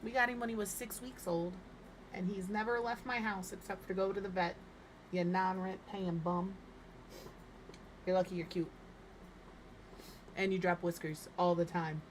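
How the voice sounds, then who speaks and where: Chatty streamer woman, slightly hoarse. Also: lowish voice, fairly even intonation, moderate pace, long pauses, slight background noise, poor audio. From Minnesota. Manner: rambling, chatty, self-deprecating. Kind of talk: casual chat while gaming. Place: home.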